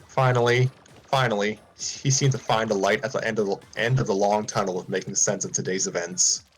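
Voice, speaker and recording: A man's voice: fairly low pitch, steady tone, brisk, slight background noise, rough recording. A man reading a fan story aloud, often stumbling and restarting lines.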